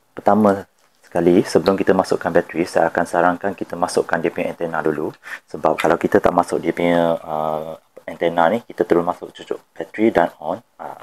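Very deep-voiced Malaysian man, casual tutorial. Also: a little mellow. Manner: informal, repeats instructions, uses some English words. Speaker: product demonstrator. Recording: clear background noise.

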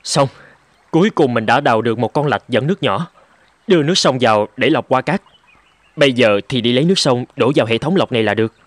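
A man's voice: mid-range voice, expressive, fast talker, no room sound, close to an everyday voice. A man playing a determined, resourceful young gold prospector in a cartoon, earnest and reassuring. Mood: confident. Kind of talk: cartoon dub acting. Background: music.

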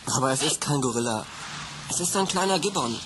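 Actor as a level-headed boy with a pet gibbon, calm, mid-pitched, hissy recording. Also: brisk, close to an everyday voice. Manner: calm, practical.

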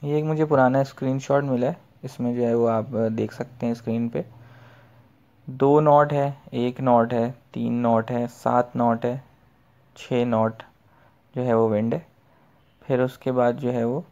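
A man's voice: mid-range voice, flat delivery, a little slow, warm tone, dull audio. A man giving an informal screen tutorial, explaining step by step with repeated filler phrases. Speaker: fishing tutorial host.

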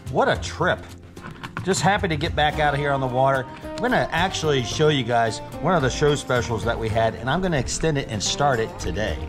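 A man's voice: medium pitch, fairly quick, music in the background. A middle-aged man hosting a casual fishing vlog from a boat on a lake, upbeat and talking straight to his viewers. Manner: upbeat, talks straight to viewers, excitable when fish bite. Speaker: fishing guide and bait seller. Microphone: action camera on the boat.

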